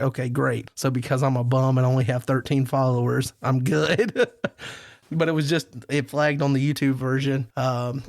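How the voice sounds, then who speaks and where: Man rambling casually, fairly even pitch. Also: creaky, no room sound, clean studio sound. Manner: rambling, self-deprecating storytelling. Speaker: podcast host. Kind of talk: casual roundtable chat.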